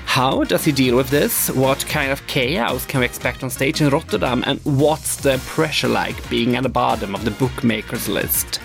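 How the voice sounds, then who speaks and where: A man's voice: medium pitch, animated, normal speed, creaky, hardly any pauses, music in the background. A man hosting an interview podcast in a playful, teasing way. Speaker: podcast host.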